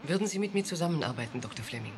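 An ambitious scientist in a radio play. Her voice is fairly low with wide melodic movement. She sounds assured, pointed and unbothered by others' fears. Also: lively intonation, racing, music in the background. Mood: cool confidence. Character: confident, ambitious woman scientist, coolly dismissive of fears. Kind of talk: German radio play acting.

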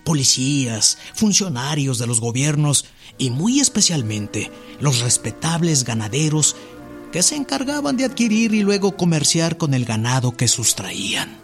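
A man's voice: fairly high pitch, sing-song, a little slow, faint music behind. An actor playing a bookish man reading history aloud formally.

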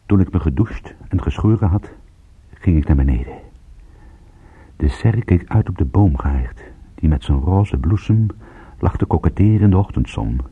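An actor playing a weary, suspicious private detective in a radio drama, narrating and talking in a dry, wry, understated manner. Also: male voice, low-pitched, lively intonation, moderate pace, husky, phone-quality sound, heavily acted. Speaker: detective and first-person narrator.

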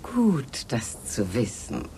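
A genteel, coolly arch hostess in a satirical radio play. She has a low voice that swoops widely and speaks slowly, haltingly and with mannered politeness, over audible background noise. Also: wildly swooping pitch, dark tone, full character voice. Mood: coolly ironic.

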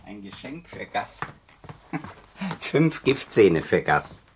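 A man's voice: on the low side, very animated, extremely slow, background noise, heavily acted. An actor playing a bitter, wary male pawnbroker with telepathic powers in a German radio play. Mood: spiteful glee.

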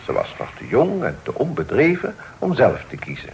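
An actor playing a sober, dignified middle-aged father, speaking formally and deliberately in a period radio play. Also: mid-range voice, wildly swooping pitch, a little mellow. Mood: grave, measured. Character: sober, reasonable, dignified father of a genteel family. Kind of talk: period radio drama acting. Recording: old radio recording with faint noise.

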